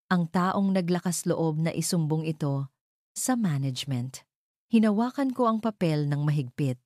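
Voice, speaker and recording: Woman narrating drama in a mellow, low-ranging voice. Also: no echo, clean studio sound. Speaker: story narrator. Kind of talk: dramatic scripted first-person narration of a romance drama.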